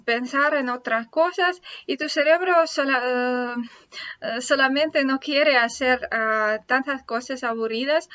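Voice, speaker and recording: A woman's voice: high voice, bright, forceful tone, highs cut off. A Russian woman teaching languages in a casual video, speaking hesitantly with many filler sounds.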